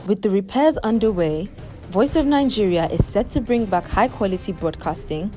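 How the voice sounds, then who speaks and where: A woman reporter reading a scripted news voice-over in a smooth, even way. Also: medium pitch, normal speed, faint music behind.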